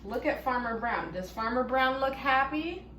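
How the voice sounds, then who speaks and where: A woman reading a children's story aloud, expressive and deliberate, stopping to prompt young listeners with questions. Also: sits high. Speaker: storybook reader leading children. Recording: roomy echo, faint background noise.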